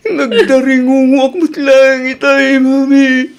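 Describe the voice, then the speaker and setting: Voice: high voice, fairly even intonation, takes it slowly. An actor playing a bitter, despairing sick young boy in a radio drama, with a resentful, plaintive delivery. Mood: pained, tearful. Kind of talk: tearful radio drama acting. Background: music.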